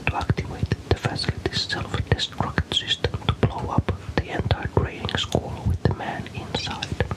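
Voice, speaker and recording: A man from Finland narrates a scripted ASMR story in a soft, breathy whisper, calm and deliberate. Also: rough recording.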